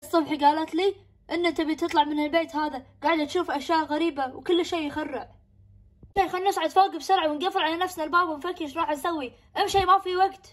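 A woman playing a stern, worried father in a homemade family skit, quick and exasperated. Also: extremely high pitch, steady tone. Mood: alarmed.

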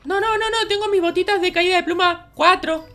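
A woman voicing a playful, excitable baby boy in a Minecraft roleplay, with a childlike, squeaky voice, baby talk and repeated exclamations. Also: fairly quick. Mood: thoughtful. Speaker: host. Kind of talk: Minecraft roleplay gameplay commentary. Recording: music behind the voice.

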